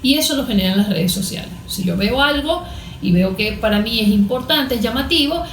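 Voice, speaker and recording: A woman's voice: a little high, lively intonation, a little fast, fairly bright tone. A Venezuelan woman, a therapist being interviewed, explaining things in an earnest, didactic way, point by point. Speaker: therapist and coach, interview guest. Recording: roomy echo.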